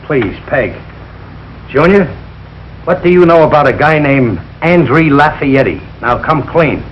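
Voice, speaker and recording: A man's voice: fairly high pitch, expressive, measured pace. An actor playing a blustering, suspicious middle-aged working-class father in a 1940s radio situation comedy, exclamatory and emphatic. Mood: interrogating. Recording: dull, noisy 1940s radio recording.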